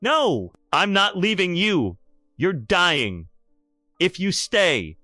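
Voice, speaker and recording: A man's voice: sits high, big pitch swings, slow pace, bright, forceful tone, dry recording, studio quality, soft background music, heavily acted. A man narrating a romantic drama voices a passionate, devoted young businesswoman in love, pleading and emotional. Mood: desperate. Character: passionate, devoted female Seoul CEO in love.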